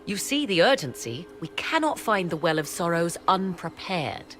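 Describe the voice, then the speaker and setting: A haughty game witch with a low, richly lilting voice that rises and dips widely. She speaks in formal, old-fashioned turns of phrase, lecturing her companions with cool pride, and a soft music bed runs beneath. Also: very animated, normal speed. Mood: challenging. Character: proud sardonic woman mage, archaic speech.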